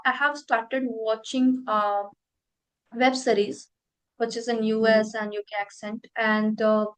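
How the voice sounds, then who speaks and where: Chatty Indian woman, slightly high voice. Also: rough recording. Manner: chatty, thinks aloud with many fillers. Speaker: host. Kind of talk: casual conversation practice.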